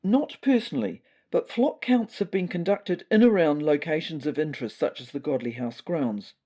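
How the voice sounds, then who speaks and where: A woman voices an earnest male cat official in a comic audio story. The voice is mellow and soft-edged with a New Zealand accent, formal and slightly tentative in delivery. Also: low-pitched, lively intonation, normal speed, slightly rough, lo-fi sound.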